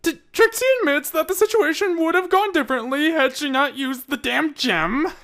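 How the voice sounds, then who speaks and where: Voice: sits high, moderate pace, a bit bright, voice pushed up. An amateur reader voicing a proud, defensive young showmare who stammers indignantly, in a fan fiction reading. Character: proud, flustered young showmare, defensive, speaks in third person.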